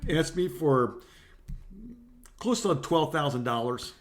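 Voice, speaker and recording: A man's voice: fairly high pitch, slow, crowd noise. A middle-aged man co-hosting a podcast, telling rambling, self-deprecating stories in casual conversation. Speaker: podcast co-host. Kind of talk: casual chat on a phone call podcast.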